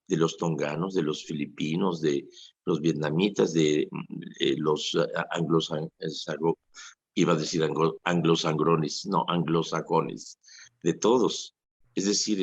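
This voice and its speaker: Deep, husky Mexican priest on radio. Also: male voice. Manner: earnest preaching with vivid quoted asides. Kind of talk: radio preaching reflection. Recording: dull lo-fi radio recording.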